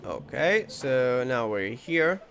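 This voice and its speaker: A man's voice: medium pitch, very animated, takes it slowly, a bit bright. A man giving casual game commentary as he plays, thinking aloud in short reactions. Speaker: gameplay streamer.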